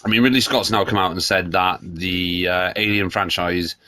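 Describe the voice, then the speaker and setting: A man's voice: low-pitched, steady tone, moderate pace, sharp tone, a little hiss or hum. A man hosting a solo podcast, congested from a cold, rambling and opinionated. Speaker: podcast host. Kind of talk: solo casual podcast chat.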